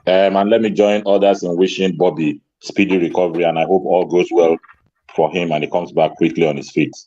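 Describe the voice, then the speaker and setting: Deep, dark-toned man on a dull line. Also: steady tone, a little fast. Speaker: guest panelist. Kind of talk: casual fan chat.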